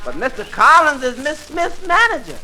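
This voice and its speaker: A man's voice: extremely high pitch, huge pitch swings, normal speed, exaggerated voice. A man playing a wry, skeptical tavern waiter in a 1940s radio comedy, delivering dry wisecracks in folksy grammar. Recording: faintly noisy 1940s radio recording.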